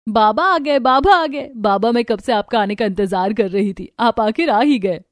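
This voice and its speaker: A woman's voice: a little high, expressive, normal speed, close to an everyday voice. A woman voicing a meek, mistreated young daughter in a cartoon story, plaintive and earnest. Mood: eager. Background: music.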